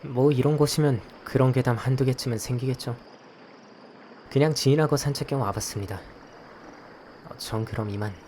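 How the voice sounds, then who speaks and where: A man's voice: medium pitch, normal speed, pauses often, darkish tone, slight background noise, natural voice. A man playing a guarded young investigator in a visual novel, narrating and talking in a subdued, hesitant way. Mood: hesitant, polite. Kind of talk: scripted first-person narration and dialogue. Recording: clear night insect ambience behind voice.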